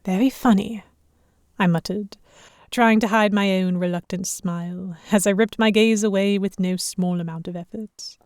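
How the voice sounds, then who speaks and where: A woman playing a sharp-tongued young lady hostage among pirates, narrating her own story in an expressive, introspective way. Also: mid-range voice, moderate pace, no echo, studio quality, close to an everyday voice. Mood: amused. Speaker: narrator and lead character. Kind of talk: first-person audio drama narration.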